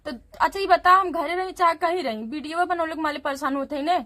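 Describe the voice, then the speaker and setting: A woman's voice: very high-pitched, fast, pushed and bright. A young woman with a Bhojpuri accent, a YouTuber talking in an insistent, complaining way. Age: young adult. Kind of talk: phone argument and talk to viewers.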